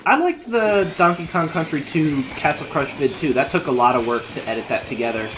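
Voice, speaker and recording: A man live-streaming a video game, chatting casually with viewers while he plays, his voice going hoarse from a long session. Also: fairly high pitch, a little fast, background noise. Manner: chatty, thinking aloud while playing. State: losing his voice.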